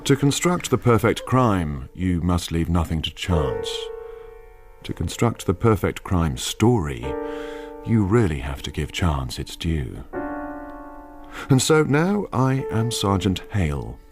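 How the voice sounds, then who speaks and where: An actor with an English accent as a calculating, darkly reflective narrator of a radio crime drama, measured and literary. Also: male voice, low-pitched, big pitch swings, over music, exaggerated voice.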